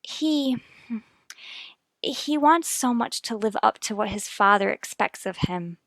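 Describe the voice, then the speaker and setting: A woman's voice: fairly high pitch, lively intonation, slow pace, fairly bright tone, no room sound, heavily acted. An actress playing a worried, earnest young mother in a vintage radio soap opera, confiding in a careful, hesitant way. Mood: anxious, pained.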